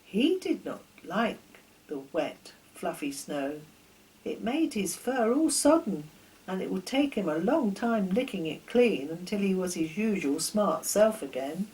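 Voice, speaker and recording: Slow, expressive older woman reading stories. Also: mid-range voice, poor audio. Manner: expressive storytelling with rhetorical questions. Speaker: children's author reading own stories. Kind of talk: scripted children's story narration.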